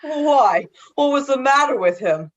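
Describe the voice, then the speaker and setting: A woman's voice: high-pitched, lively intonation, measured pace. A woman playing a callous, inquisitive business person in a radio play, with wry delivery and slightly muffled audio. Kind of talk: theatrical radio play acting.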